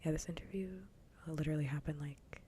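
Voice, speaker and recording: A woman streamer chatting casually in a soft, breathy ASMR whisper close to the microphone, with a wry, conversational way of griping. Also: deep voice, steady tone, a little fast, slight background noise. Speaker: streamer hosting a live ASMR show.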